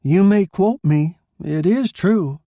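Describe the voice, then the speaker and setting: A man's voice: high voice, takes it slowly, dark tone, no room sound, voice pushed up, full character voice. A man voicing a defiant, candid young wife in a dramatised crime story, bold and unrepentant. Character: defiant young wife calmly confessing to stabbing. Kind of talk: dramatised short story reading.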